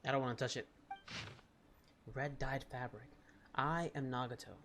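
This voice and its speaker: A man's voice: medium pitch, steady tone, normal speed, bright, forceful tone, slight background noise. A man playing a video game and reading its text aloud in a subdued, dramatic way, now and then stumbling. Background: game music.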